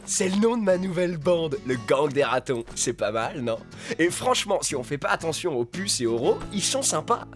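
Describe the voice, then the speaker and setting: A man's voice: fairly high pitch, big pitch swings, moderate pace. A man voicing a cocky, easily rattled fox who poses as a pet dog in a French children's cartoon, with exclamatory delivery. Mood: reassuring, defensive. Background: cartoon music.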